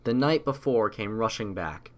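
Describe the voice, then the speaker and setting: A man reading a story aloud as scripted audiobook narration, in a measured, literary storytelling manner. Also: lowish voice, animated.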